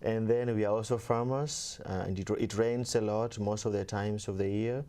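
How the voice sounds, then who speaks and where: Young male seminarian guest from Kenya, mellow low voice, hesitant and gentle. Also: steady tone, normal speed. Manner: soft-spoken, many fillers, laughs easily. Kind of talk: casual interview talk.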